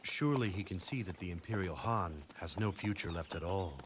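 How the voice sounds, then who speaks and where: An actor playing a cold, arrogant male strategist in a video game cutscene, speaking in a haughty, measured way. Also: deep voice, lively intonation, noisy background. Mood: cold disdain. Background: game music score.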